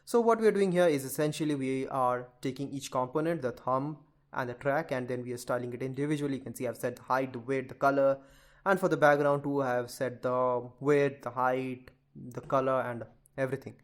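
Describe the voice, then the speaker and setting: A man's voice: mid-range voice, a little fast. A man giving an informal screen-share coding tutorial, rambling and chatty as he talks straight to his viewers. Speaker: programming tutorial presenter.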